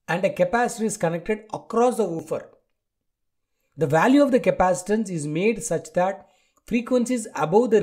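A man lecturing from a prepared text, reading deliberately point by point in short phrases. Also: high-pitched, a little mellow. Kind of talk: scripted lecture read from slides.

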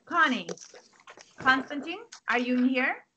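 A woman's voice: sits high, unhurried, background noise. A woman playing a domineering, disapproving mother who nags her son, in a screenplay read over a video call. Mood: searching, indignant. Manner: sharp, nagging. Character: Hanish, scolding immigrant mother, disapproving and domineering. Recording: dull lo-fi video call audio.

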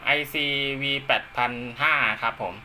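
Man, very bright sharp voice, casual hobbyist demo, indoors, faint background noise. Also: a little slow. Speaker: amateur radio hobbyist presenting his own video. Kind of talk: casual hands-on demonstration. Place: indoors at home.

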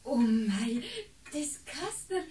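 An actress playing an affectionate, teasing sixteen-year-old niece in a radio play, gushing and playful, with a Bavarian accent. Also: female voice, fairly high pitch, expressive, extremely slow, mellow, slight background noise. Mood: delighted.

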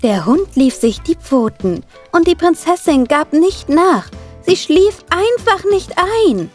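A woman's voice: high-pitched, big pitch swings, slow pace, a little mellow, full character voice. A woman narrating a scripted children's cartoon story. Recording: music behind the narration.

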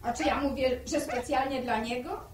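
An actress plays a bossy village mother in a high woman's voice, fussing over her household. The old radio drama sounds echoey, distant and noisy. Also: fairly even intonation, normal speed, lightly acted. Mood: fussing, reproachful. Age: middle-aged.

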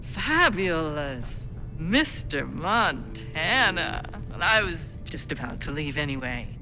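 A woman's voice: sits low, big pitch swings, slow pace, music in the background, full character voice. An actress playing a poised, cryptic woman in a video game, with a teasing, theatrical delivery full of condescension and cool menace. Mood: coolly menacing. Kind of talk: cinematic video game acting.

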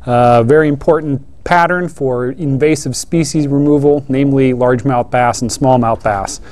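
A young man from Oregon, a fly tyer, introducing himself in a brisk, straightforward way in a studio. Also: mid-range voice, darkish tone. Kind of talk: scripted introduction. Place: studio with dark backdrop.

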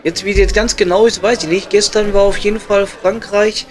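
A man acts out a gruff but chummy coal miner from an improvised comedy audio play. His voice sits high for a man, with a Ruhr German accent and blunt, slangy pub banter. Faint music plays behind him. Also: steady tone, fairly quick, lightly acted.

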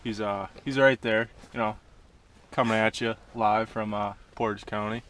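A young man in his twenties vlogging an ice-fishing trip with loud, joking banter, filmed on a wearable camera on a frozen lake. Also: fairly low pitch, steady tone, moderate pace. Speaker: vlogger and angler. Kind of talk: casual outdoor banter. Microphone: wearable action camera. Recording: action camera with loud wind noise.

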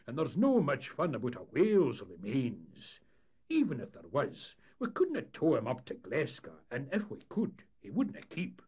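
A man voicing a cautious, opinionated Scottish boat skipper who makes deliberate, dismissive pronouncements in a storybook tale. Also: mid-range voice, huge pitch swings, darkish tone, breathy edge, lo-fi sound, pitched above the performer's own voice. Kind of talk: storybook character voice in humorous tale.

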